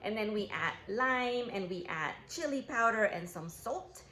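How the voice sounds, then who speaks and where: A woman's voice: fairly high pitch, lively intonation, unhurried, sharp tone. Cheerful woman teaching in a roomy kitchen. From Guatemala. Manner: cheerful, upbeat, speaks directly to viewers. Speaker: cooking class host. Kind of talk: kid-friendly cooking demonstration. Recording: roomy kitchen, faint ambience.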